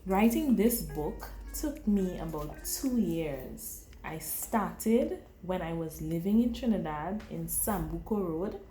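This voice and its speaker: A woman with a Grenadian accent, a visiting author who reads a story aloud to a class and then gently answers the children's questions. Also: on the low side, lively intonation, slow, few pauses, echoey room, soft background music. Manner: gentle, encouraging, dramatic when reading.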